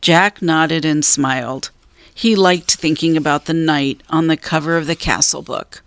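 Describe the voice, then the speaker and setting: A woman reading a children's story aloud as a narrator, in a measured, deliberate way with drawn-out emphasis. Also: sits low, steady tone, no room sound. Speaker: storybook reader.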